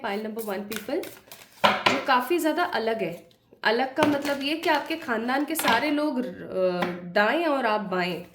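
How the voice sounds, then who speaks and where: Woman, tarot reader, slightly hoarse from a cold, higher voice, chatty and direct, echoey room. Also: heavy background noise. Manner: chatty, addresses viewers directly, mixes English phrases. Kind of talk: pick-a-pile tarot reading to camera.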